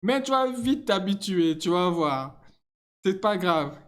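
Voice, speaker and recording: Man mimics grown son, reassuring, lifted pitch, easygoing advice. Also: animated, takes it slowly, a bit bright, full character voice.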